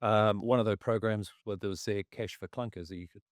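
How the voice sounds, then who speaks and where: A man chats about markets in a halting, casual way, often stopping to clear his throat. His voice sits a little low and is wearing thin as he loses it. The sound is dry and close, with no room around it. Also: steady tone, no room sound. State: losing his voice. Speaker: investment commentator.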